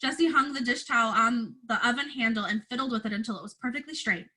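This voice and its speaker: A woman reading a children's chapter book aloud as narrator, in an expressive teacher's storytelling style. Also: on the high side, quick pace, poor audio.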